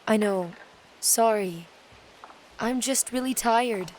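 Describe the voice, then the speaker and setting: A woman's voice: fairly high pitch, slow, a little mellow, slight background noise, low-quality recording. A woman playing a withdrawn, weary teenage girl in an audio drama, hesitant and apologetic. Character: weary, withdrawn, depressed teenage girl, a high school student.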